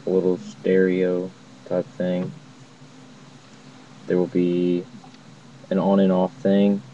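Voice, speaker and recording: A young man talks through a casual review in a low, monotone voice. His delivery is informal and halting, picked up by a distant mic in a muffled home recording. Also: a little hiss or hum. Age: young adult. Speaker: app reviewer. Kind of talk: casual tutorial to camera. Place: indoors, dim cluttered room at home. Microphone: laptop mic, later phone headset mic.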